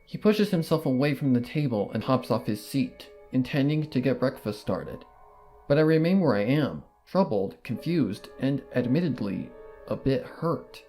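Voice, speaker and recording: A man narrating a first-person horror fanfiction in a measured, brooding way. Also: mid-range voice, expressive, warm tone, soft background music, low-quality recording.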